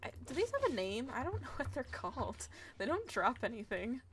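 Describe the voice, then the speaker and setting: A woman's voice: a little high, sing-song, fairly quick, a bit bright. A young woman chatting casually and muttering to herself as she plays a game on a livestream. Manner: mutters to self while playing. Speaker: game streamer. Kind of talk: casual livestream gameplay chat. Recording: faint noise, keyboard and mouse clicks.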